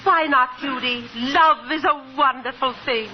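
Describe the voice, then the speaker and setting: Genteel affectionate aunt, polite delivery, muffled old radio recording with faint noise. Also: female voice, sits high, unhurried. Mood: fond. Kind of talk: 1940s radio comedy acting. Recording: dull, slightly noisy 1940s radio recording.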